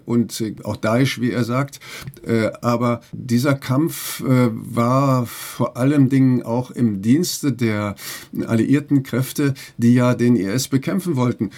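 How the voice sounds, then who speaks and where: Man, interviewer, mellow dark tone, measured, pauses on fillers. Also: medium pitch. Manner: measured, slow, frequent fillers. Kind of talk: radio interview.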